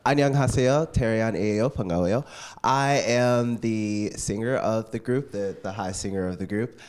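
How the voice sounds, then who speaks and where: Enthusiastic lower-voiced man from Texas. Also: fast, a little mellow, smooth. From Houston, Texas. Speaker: band member, singer. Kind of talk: casual interview.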